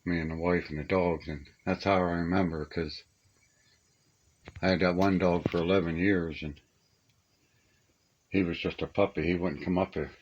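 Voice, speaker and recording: Older man with a low, folksy voice. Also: fairly even intonation, moderate pace, pauses often, highs cut off, slight background noise. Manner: plainspoken, folksy grammar. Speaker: radio hobbyist showing his collection. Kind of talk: casual chat, reminiscing. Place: small upstairs room being stripped to studs.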